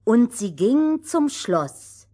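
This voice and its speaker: A woman's voice: fairly high pitch, sing-song, takes it slowly, mellow, husky, heavily acted. A woman playing an assured storyteller who narrates a fairy tale for children and corrects her partner. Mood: narrating.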